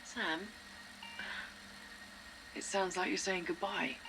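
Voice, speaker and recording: A woman's voice: on the low side, level tone, takes it slowly, background noise. An actress playing a caring, worried female friend who speaks earnestly.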